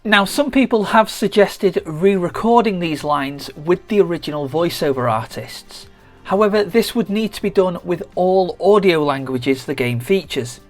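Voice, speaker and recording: A gaming channel host narrates a scripted video essay at a middling pitch. The delivery is measured and explanatory, point by point. Music plays behind the voice. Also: lively intonation. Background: background music.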